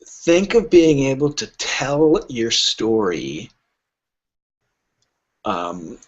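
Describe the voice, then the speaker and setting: A man's voice: medium pitch, very animated, extremely slow, highs cut off. A middle-aged man speaking conversationally as an interview guest, wry and joking, with anecdotal answers. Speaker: literary agent, podcast guest.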